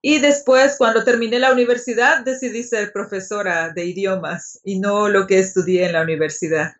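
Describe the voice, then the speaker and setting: A middle-aged woman with a Salvadoran accent, a language teacher answering interview questions hesitantly with soft pauses. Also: medium pitch, steady tone. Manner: hesitant, laughs softly between answers. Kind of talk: conversational interview. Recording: dull, lo-fi audio.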